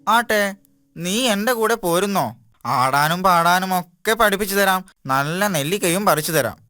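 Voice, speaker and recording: A cheeky young cartoon animal, voiced by a woman, teases and boasts in a carefree, bossy way. Music plays clearly behind the voice. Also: on the low side, moderate pace, fairly bright tone, dry recording, lightly acted. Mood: boastful, carefree. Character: cheeky, boastful young mischief-making animal friend with a tail. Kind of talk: children's cartoon voice acting.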